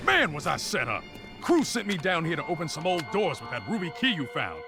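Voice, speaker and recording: A man's voice: fairly high pitch, wildly swooping pitch, fairly quick, gravelly, heavily acted. A man playing a tough, brash, street-smart fighter in a video game, talking urgently. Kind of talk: video game action acting. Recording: loud music behind the voice.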